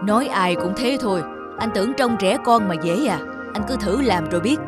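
A woman's voice: mid-range voice, animated, a little fast, close to an everyday voice. A woman playing a stern elderly cartoon grandmother and mother-in-law who scolds bluntly and frets. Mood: indignant. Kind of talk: cartoon voice acting. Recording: loud background music.

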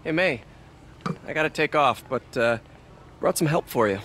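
Earnest young hero guy, casual and upbeat, voice on the high side, video game. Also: expressive, normal speed, fairly bright tone, a little hiss or hum. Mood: friendly. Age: young adult. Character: earnest, friendly young man, hero off duty.

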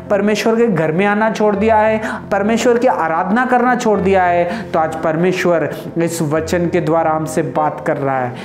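A man's voice: high voice, a little mellow. A man in his thirties preaching with fervent energy and sweeping gestures, addressing brothers and sisters. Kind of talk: Hindi sermon. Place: indoor studio before printed backdrop. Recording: roomy sound with music underneath.

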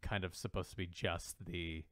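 A man co-hosting a casual podcast chat about comics and animation, haltingly repeating words as he thinks aloud. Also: sits low, steady tone, a bit bright, dry recording. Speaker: podcast co-host.

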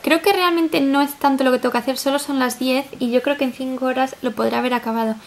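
A young woman with a Spanish accent from Spain talks casually to camera. Her voice is high and full of creaky fry, and she rattles along quickly, rambling as she goes. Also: steady tone. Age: 20s. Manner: rambling, chatty. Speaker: vlogger. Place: small flat living room, indoors.

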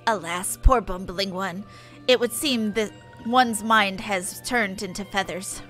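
A woman voicing a stern, weary forest-spirit elder from a fantasy game, speaking in measured, formal third person. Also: mid-range voice, fairly bright tone, soft background music, voice pushed down, natural voice. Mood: stern, solemn. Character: stern, weary sylph elder giving solemn orders.

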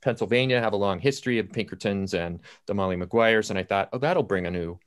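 Low-voiced man telling stories on a panel. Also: fast. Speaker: playwright on a discussion panel. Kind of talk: anecdotal panel talk about writing. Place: indoors at home, on a video call. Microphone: computer video-call audio.

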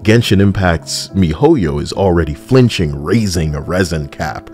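A man's voice: low voice, animated, measured pace, darkish tone. A man giving casual, sardonic, rambling video game commentary as host, close on the mic. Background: music behind the voice.

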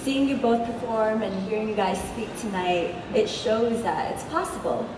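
A young American woman with a medium-pitched voice moderates a live panel. She is heard from far away in a heavily echoing hall, with clear noise behind her. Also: moderate pace. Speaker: interviewer, moderator. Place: large indoor event space with audience. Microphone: event mic, heard from distant camera. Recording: very echoey noisy hall from far off.

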